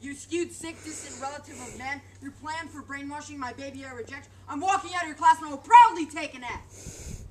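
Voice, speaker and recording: High-pitched woman reciting, rhythmic and distant. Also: a little fast, a little mellow. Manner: rhythmic, emphatic delivery. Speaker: readers theater performer. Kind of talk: dramatic spoken word recitation. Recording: distant mic with clear background noise.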